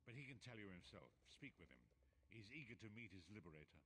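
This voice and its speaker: Over clear background noise, a wise mentor speaks in a fairly low voice. He is stately, solemn and deliberate, guiding a hero in a fantasy game. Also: sing-song, fairly bright tone, poor audio. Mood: calm, solemn. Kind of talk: scripted video game dialogue.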